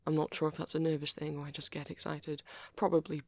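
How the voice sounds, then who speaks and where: Woman voicing a shy, halting, low-pitched vampire boy. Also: flat delivery, no echo. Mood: self-conscious. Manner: hesitant, halting, rambling, nervous. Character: shy, bashful, awkward young vampire boyfriend, male. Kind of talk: ASMR roleplay.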